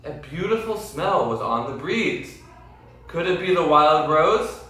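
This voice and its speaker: A man reading a children's story aloud expressively, with deliberate, dramatic phrasing and questions to his listeners. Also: a little high, lively intonation, fairly bright tone, a little hiss or hum. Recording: very echoey room, distant mic.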